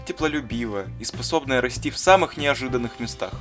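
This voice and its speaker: Man, kindly cartoon teacher, acted, wise, courteous, measured, instructive storytelling, medium pitch, background music. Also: expressive, fairly bright tone, natural voice. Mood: kindly, reflective. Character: wise, kindly male schoolteacher who loves gardening. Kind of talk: scripted storytelling in an educational cartoon. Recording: music behind the voice.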